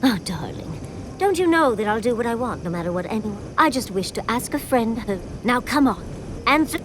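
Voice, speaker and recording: High, headstrong, theatrical woman. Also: sing-song, moderate pace, noisy background. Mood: playfully defiant. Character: headstrong young-spirited woman, affectionate and self-willed. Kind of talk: video game dialogue acting.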